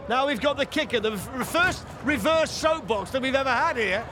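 A man commentating live on a race event, exclaiming at each run as it unfolds. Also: very high-pitched. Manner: excitable, quick to exclaim at runs.

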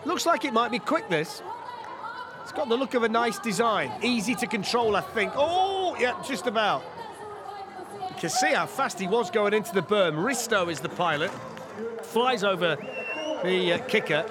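A man giving live commentary on a downhill race, excited and wry as he calls the action. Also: sits high, normal speed, a crowd in the background.

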